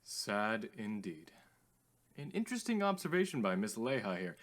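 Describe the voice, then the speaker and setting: A man playing a courteous, theatrical radio call-in host with formal, drawn-out greetings in a radio drama. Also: fairly low pitch, sing-song. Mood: polite, theatrical.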